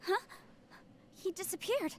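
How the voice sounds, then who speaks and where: A woman's voice: a little slow, a little hiss or hum, natural voice. A woman voicing a polite, earnest young adventurer in a video game. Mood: concerned.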